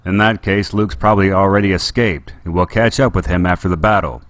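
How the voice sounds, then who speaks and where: A man's voice: on the low side, moderate pace, no echo, close to an everyday voice. A man voicing a brash, protective male smuggler captain who speaks bluntly and firmly. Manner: blunt, gruff, firm.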